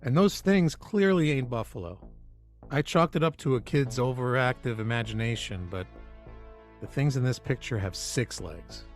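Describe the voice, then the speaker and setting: Guy acting as the nosy research buddy in an audio play, regular pitch, casual and a bit wry. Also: expressive, normal speed, faint music behind, natural voice. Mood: intrigued, wry. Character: inquisitive, persistent man, amateur researcher.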